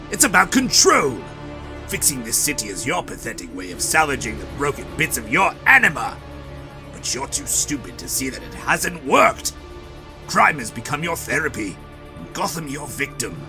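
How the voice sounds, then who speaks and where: A man voicing a manic, taunting male clown villain in a fan-dubbed motion comic, theatrical and swinging from shouts to hushed tones. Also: fairly high pitch, very animated, moderate pace, over music.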